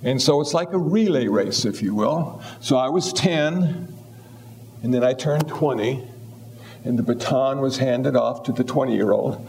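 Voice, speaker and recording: A man's voice: medium pitch, unhurried, creaky, a little mellow. A man in his seventies giving a humorous Buddhist dharma talk, easygoing and wry. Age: 70s. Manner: easygoing, wry, acts out little voices. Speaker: Buddhist teacher.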